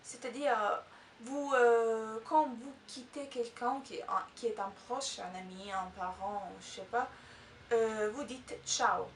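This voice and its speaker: A woman's voice: a little high, slow, slight background noise. A woman from Italy whose first language is Italian, teaching in French with an Italian accent. She talks casually and hesitantly, with many filler sounds and restarts. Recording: echoey room, mic at a distance.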